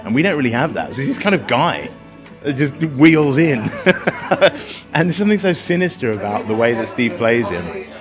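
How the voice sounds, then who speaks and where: A man's voice: mid-range voice, very animated, normal speed. A man chatting casually in an interview, enthusiastic and often searching for his words. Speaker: interviewee from the production. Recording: loud music behind. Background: party music.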